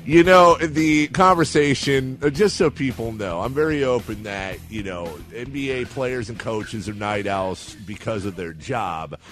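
A man with a bassy voice hosting a casual sports talk interview, chatty, informal and digressive. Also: expressive, normal speed, few pauses, soft background music. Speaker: radio show host, interviewer.

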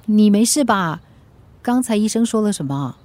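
An actress playing a caring, gently nagging friend in a radio drama, reassuring and solicitous, with a Singaporean accent. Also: female voice, medium pitch, lively intonation, moderate pace, lightly acted. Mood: concerned, helpful.

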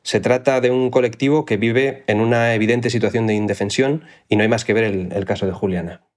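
A man's voice: on the low side, steady tone, a little fast, a little mellow. A man working as an economics reporter, giving careful, explanatory interview answers with some fillers.

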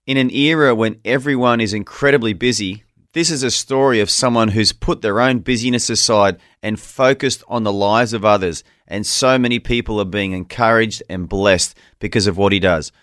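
A man's voice: fairly low pitch, moderate pace, a bit bright, dry recording, studio quality. An Australian man working as a radio interview host, speaking in an affirming, conversational way that prompts his guest.

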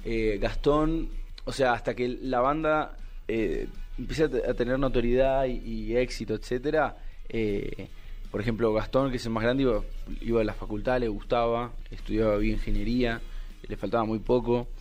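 A man in his thirties from Buenos Aires with a Buenos Aires Argentine accent, a musician answering interview questions in an unhurried way, full of fillers and restarts. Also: medium pitch, fairly even intonation, darkish tone, faint music behind. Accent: Argentine Spanish, Buenos Aires. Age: 30s. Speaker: musician guest.

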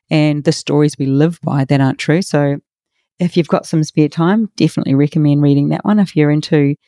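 A middle-aged woman with a raspy, hoarse voice, hosting a motivational podcast in an earnest, encouraging way. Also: low-pitched, mellow, no room sound, studio quality. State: hoarse from a workshop. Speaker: podcast host and coach.

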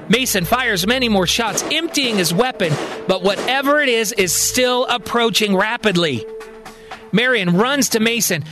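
A male storyteller delivers scripted science-fiction narration. His voice sits high for a man, with music playing underneath as he tells the story dramatically. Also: fairly bright tone.

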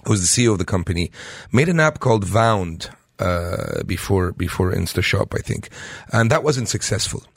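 A man's voice: low-pitched, huge pitch swings, moderate pace, vocal fry, few pauses, slightly rough, dry recording. A man co-hosting a studio interview who often stumbles and repeats his words. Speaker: radio co-host. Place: radio studio.

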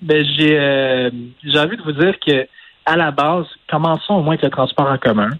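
A man speaking Quebec French as an interview guest and former political adviser, talking in a measured, hedging way with many restarts. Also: on the high side, fairly bright tone, dry recording, rough recording. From Portugal. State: recovering from illness. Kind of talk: phone-in news interview.

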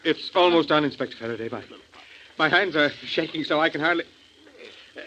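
A man's voice: fairly high pitch, fairly quick, pushed and bright. An actor playing an anxious, shaky, deferential father in a 1940s radio crime drama. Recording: muffled, noisy 1940s radio recording.

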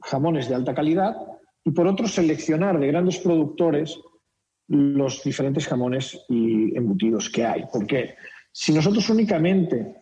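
A man's voice: on the high side, animated, a little slow, warm tone, low-quality recording. A man from Spain with a Castilian accent slightly mixed with Mexican, explaining his business as a radio interview guest. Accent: Castilian Spanish with a slight Mexican mix. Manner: explanatory, frequent repetitions and tag questions. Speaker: company director, interview guest.

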